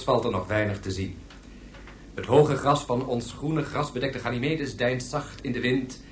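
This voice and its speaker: Radio drama: insistent female TV reporter, low and highly expressive, roomy, noisy sound. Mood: measured suspense. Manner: dramatic, insistent, addressing viewers. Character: breathless, gushing female television live reporter. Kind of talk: live news commentary in a radio drama. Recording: echoey, noisy radio play recording.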